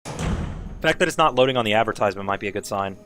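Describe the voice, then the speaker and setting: A man's voice: fairly low pitch, expressive, quick pace, a bit bright, faint music behind. A man casually commenting on his own speedrun and chatting with viewers, swearing now and then when frustrated. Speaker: speedrun streamer.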